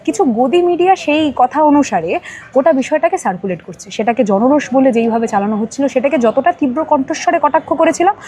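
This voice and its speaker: A woman's voice: high voice, expressive, a little fast, warm tone. A woman with a Bengali accent giving emphatic political comment as an interview guest, in long, repetitive sentences.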